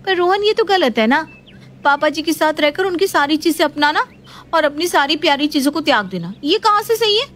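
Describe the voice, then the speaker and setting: Woman acting a high-voiced, chatty young daughter-in-law. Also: animated, fairly quick, a bit bright. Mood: puzzled, protesting. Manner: questioning, talkative.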